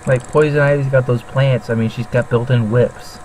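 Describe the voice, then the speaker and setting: A man's voice: medium pitch, fairly even intonation, moderate pace, darkish tone, faint music behind. A man in casual banter as a heckling co-host, teasing with crude humour.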